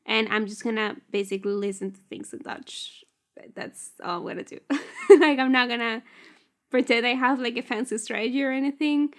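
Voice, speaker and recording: Chatty woman, higher voice. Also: normal speed. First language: Spanish. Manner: chatty and candid, with filler "like". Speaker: language-learning vlogger. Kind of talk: casual explanatory vlog.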